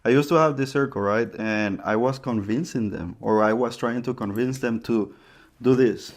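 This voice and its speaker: A man's voice: mid-range voice, moderate pace. A man from Honduras with a Honduran Spanish accent, whose first language is Spanish, co-hosting a podcast in casual chat, often stopping to restart phrases and search for words. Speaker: podcast co-host.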